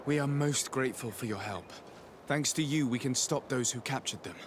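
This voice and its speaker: An actor playing a composed, decisive diplomatic envoy in a video game, speaking in a formal, measured way. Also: male voice, medium pitch, background noise, close to an everyday voice. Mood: composed, firm. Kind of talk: scripted video game acting.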